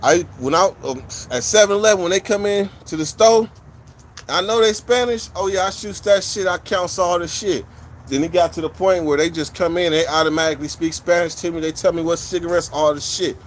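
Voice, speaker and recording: A man talks to his stream viewers in a casual, rambling way, full of street slang and cursing. His voice sits a little higher than most men's. A faint hiss of outdoor noise runs under it. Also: fairly quick. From California. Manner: blunt, profane street slang, rambling storytelling. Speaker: livestream host. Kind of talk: casual livestream chat with viewers.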